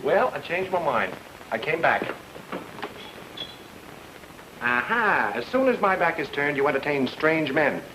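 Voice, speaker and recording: An actor playing a glib, wisecracking private detective in an old-time radio drama. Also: male voice, on the high side, sing-song, normal speed. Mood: flippant. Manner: glib, flippant. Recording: noisy old radio recording.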